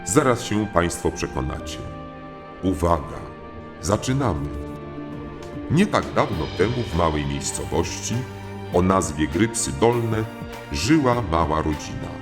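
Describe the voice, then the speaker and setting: A man's voice: sits low, lively intonation, measured pace, pauses often. A man narrating a comic audio fairy tale as a formal, courteous storyteller. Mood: measured. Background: music.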